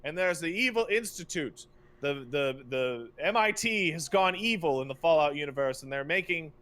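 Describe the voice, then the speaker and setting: A man's voice: a little high, a bit bright. A man co-hosting a podcast, ranting casually about video games in an emphatic, exasperated, profane way. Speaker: podcast co-host. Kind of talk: casual animated rant about video game plots.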